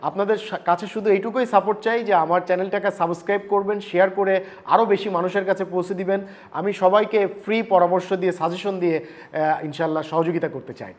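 A man's voice: high voice, steady tone, brisk. A man with a Bangladeshi accent talking casually and earnestly as a vlogger and consultant, politely and with English words mixed in. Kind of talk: casual vlog talk and phone chat.

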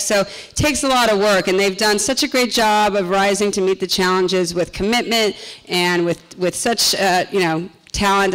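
A woman's voice: medium pitch, clear, resonant tone, low-quality recording. A middle-aged woman, a drama teacher, speaking live to an audience in a school auditorium to introduce a play. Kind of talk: live welcome speech to an audience.

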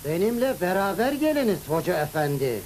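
A man's voice: high voice, very animated, fairly quick, darkish tone. An actor playing a stern, indignant district governor in a cartoon, formal and commanding as he questions people. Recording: hissy old cartoon soundtrack.

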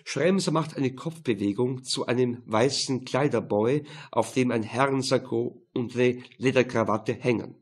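A male narrator reads a crime novel for an audiobook. His voice sits in a middle register and has a husky, unpolished grain. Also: mid-range voice, unhurried, hardly any pauses.

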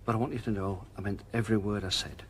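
An actor playing a fussy but soft-hearted middle-aged shopkeeper, blustering one moment and tender the next. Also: male voice, fairly low pitch, steady tone, fast, a little hiss or hum, close to an everyday voice. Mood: earnest. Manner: bustling, blustering then tender. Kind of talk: TV soap drama acting.

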